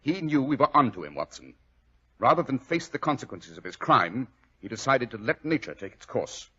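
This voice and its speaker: Self-assured British gentleman detective, didactic, animated delivery, muffled 1940s radio sound. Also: medium pitch, normal speed, slightly rough, heavily acted. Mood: coolly explaining.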